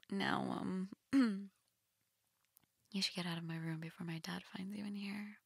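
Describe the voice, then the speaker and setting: A young goth woman, acted by a woman in an intimate roleplay audio, teasing yet shy. She speaks slowly in a breathy, half-whispered voice with plenty of vocal fry, recorded close and dry. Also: medium pitch, steady tone, dry recording, exaggerated voice. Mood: intimate, hushed. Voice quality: breathy, often dropping to a whisper. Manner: hesitant, teasing, soft-spoken.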